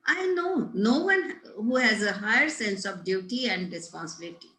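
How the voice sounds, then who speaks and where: A woman's voice: medium pitch, lively intonation, normal speed, bright, forceful tone. A woman playing a prim, pompous older governess in a table read of a Victorian comedy, formal, didactic and scolding. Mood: stern, instructive. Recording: roomy, echoey home recording.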